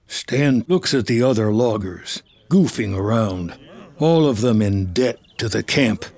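A man's voice: fairly low pitch, lively intonation, unhurried, darkish tone. A man reading scripted screenplay narration of a film story. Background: music.

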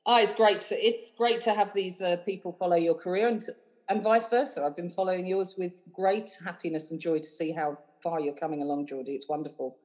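Chatty London woman, fairly low voice. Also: steady tone, brisk, poor audio. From London, England. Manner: chatty and effusive, rambling with many fillers. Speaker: cabaret host and singer, guest. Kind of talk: casual interview chat.

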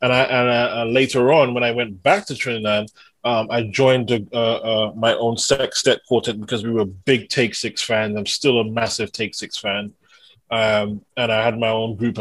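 A man's voice: medium pitch, fairly bright tone, no room sound, low-quality recording. A London-born man, a singer and songwriter, talking enthusiastically as a podcast guest in casual conversation. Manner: enthusiastic and effusive, laughs easily. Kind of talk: casual interview chat.

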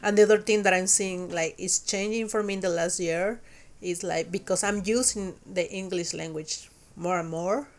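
A woman's voice: on the low side, fairly even intonation, moderate pace. A young adult woman from Mexico with a Mexican accent and Spanish as her first language, hosting a casual podcast chat and speaking hesitantly, with many fillers and restarts. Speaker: podcast host.

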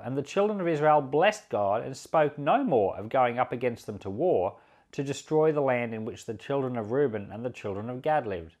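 Man, pastor, animated intonation, earnest and conversational, scripture reading and commentary, repeats for emphasis. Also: medium pitch. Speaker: pastor and podcast host.

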